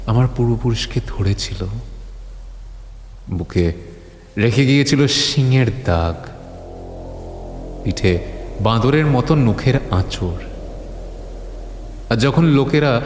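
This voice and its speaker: Slow, grave actor as male horror storyteller. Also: mid-range voice, darkish tone, a little hiss or hum, close to an everyday voice. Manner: slow, deliberate, grave, pausing often. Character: grave man recounting eerie local legends to his skeptical friend. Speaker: narrator and lead character of a horror audio story. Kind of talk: dramatic audiobook horror storytelling.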